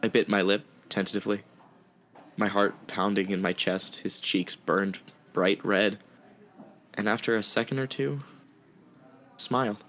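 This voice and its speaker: A man's voice: on the low side, fairly even intonation, measured pace, long pauses, a bit bright, lo-fi sound, slight background noise. A man playing a sympathetic, smitten art student who tells his story in an earnest, tender manner. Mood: tender storytelling. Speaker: narrator and actor in audio fiction. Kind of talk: first-person story narration and dialogue.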